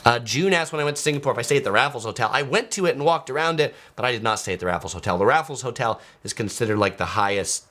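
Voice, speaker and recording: American male travel vlogger, animated pitch, loose chatty delivery with lots of fillers; live-stream host reading comments. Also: racing, few pauses. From San Diego, California, USA.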